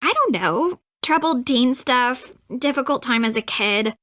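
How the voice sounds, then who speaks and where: A woman's voice: high voice, expressive, unhurried, a bit bright, no echo, clean studio sound. An actress voicing a snippy, defensive young American woman in an adventure game, sarcastic, clipped and emphatic. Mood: worried, earnest. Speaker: video game character, voice actress. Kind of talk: acted dialogue in an adventure game.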